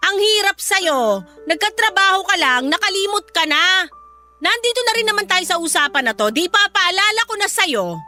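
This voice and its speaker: A woman's voice: very high voice, sing-song, moderate pace, pushed and bright, faint music behind, exaggerated voice. An actress playing a domineering, resentful middle-aged Filipino mother who scolds and accuses in a radio drama. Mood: indignant, reproachful. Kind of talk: emotional radio drama acting.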